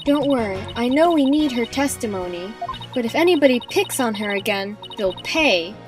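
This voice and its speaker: A loyal young female assistant lawyer, voiced by a woman, fairly high in pitch. She is fierce, confident and protective, in a game fan dub with music clearly behind. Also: lively intonation, moderate pace, close to an everyday voice. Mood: protective, firm.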